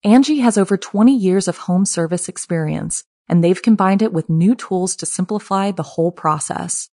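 A woman's voice: on the low side, normal speed, warm tone, no room sound, clean studio sound. A woman announcer reading a scripted commercial. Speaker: advertisement announcer.

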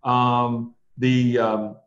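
Older male attorney guest, measured storyteller, muffled and echoey sound. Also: medium pitch, little pitch movement. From USA, Ohio and Alabama. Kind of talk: reflective storytelling interview. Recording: dull, roomy recording.

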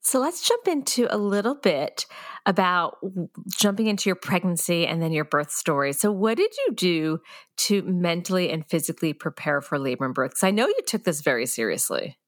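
A woman's voice: fairly low pitch, lively intonation, normal speed, no echo, studio quality. A woman hosting a podcast, interviewing a former student in an upbeat, giggly way. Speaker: podcast host and prenatal yoga teacher. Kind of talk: interviewing a guest.

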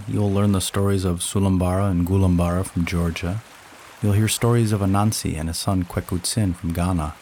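A man reading a scripted promo for his storytelling podcast in a gentle, soothing way. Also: low voice, a little hiss or hum.

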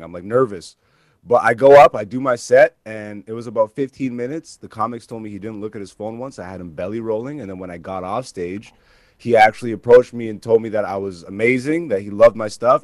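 A man, a comedian talking casually as an interview guest and telling stories in animated, informal language. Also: fairly low pitch, no echo. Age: 30s. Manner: informal, peppered with like and you know.

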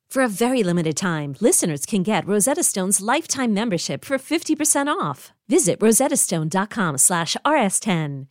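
A woman's voice: medium pitch, dry recording, clean studio sound. A woman reading a scripted advertisement in an upbeat, persuasive way. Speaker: ad reader.

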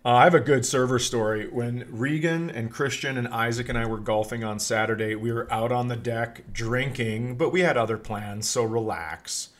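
A man's voice: medium pitch, normal speed, hardly any pauses. A middle-aged man telling comic stories in a casual podcast chat, with a teasing, joking way of talking. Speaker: comedy podcast host.

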